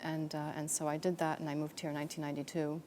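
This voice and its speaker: A woman's voice: deep voice, level tone, very fast, warm tone, a little hiss or hum. A middle-aged woman raised in Texas, a guest on an interview show, talking reflectively with many fillers and restarts. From United States, raised in Texas. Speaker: guest, chamber of commerce director. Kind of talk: conversational interview.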